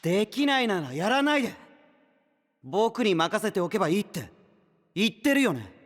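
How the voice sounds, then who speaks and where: An actor playing a stern, condescending older-brother knight in an anime-style voice drama. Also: male voice, sits high, big pitch swings, normal speed, long reverb tail. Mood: cold, reproachful. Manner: condescending, firm, polished.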